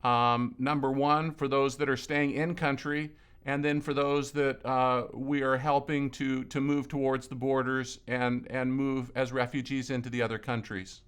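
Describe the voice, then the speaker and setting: A man from Lebanon interviewing a colleague in an earnest, conversational way, often pausing and repeating words. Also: medium pitch, level tone, fairly bright tone, smooth. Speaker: ministry leader interviewing a colleague.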